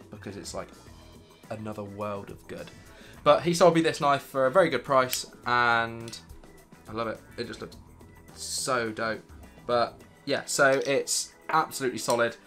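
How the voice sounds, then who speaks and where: A British man in his thirties with a croaky voice from illness, chatting enthusiastically about his gear to camera in a home studio. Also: medium pitch, fairly bright tone. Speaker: vlogger. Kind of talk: casual gear review to camera. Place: dim home studio room, indoors.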